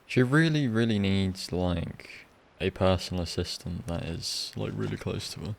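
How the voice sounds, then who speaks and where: A man casually commentating on a live game stream in a low-key, musing way, sometimes reading dialogue aloud. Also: lively intonation, normal speed, vocal fry. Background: soft game music.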